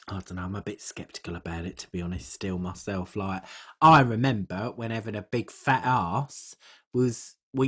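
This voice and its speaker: A performer playing a crude, gossipy middle-aged English woman podcaster, telling rambling comic stories in a blunt, husky way. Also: mid-range voice, natural voice. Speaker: podcast co-host. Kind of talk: comic character chat, rambling anecdotes.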